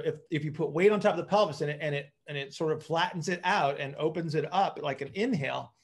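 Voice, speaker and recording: A middle-aged man with a slightly raised voice coaches a group over a video call. He explains technical material informally, often doubling back on words as he works through the idea. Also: a little high. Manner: halting, repeats words as he thinks aloud. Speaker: coach and physical therapist hosting a group call. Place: indoor room at home, group video call.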